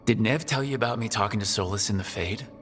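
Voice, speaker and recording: An actor playing a young, self-doubting hero in a video game, earnest and plain-spoken. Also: male voice, on the low side, fast, a bit bright, big-room sound, natural voice. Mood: troubled, doubtful.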